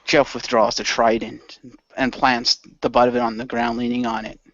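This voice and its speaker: A man's voice: mid-range voice, little pitch movement, normal speed, fairly bright tone, dry recording, highs cut off. Wry man playing a role-play, dry sound. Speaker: tabletop role-play player. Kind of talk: improvised narration with casual in-character asides.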